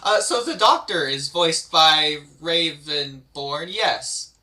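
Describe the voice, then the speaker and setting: A man's voice: fairly high pitch, expressive, takes it slowly, bright, forceful tone, rough recording. A man with an easygoing, encouraging manner, chatting casually as he directs an amateur script read. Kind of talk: casual chat between readings.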